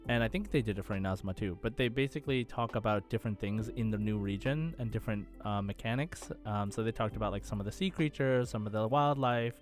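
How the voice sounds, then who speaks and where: A man's voice: on the low side, quick pace, hardly any pauses, faint music behind. A man co-hosting a casual gaming podcast, talking in a rambling, informal way. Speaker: podcast co-host. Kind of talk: casual chat about video game news.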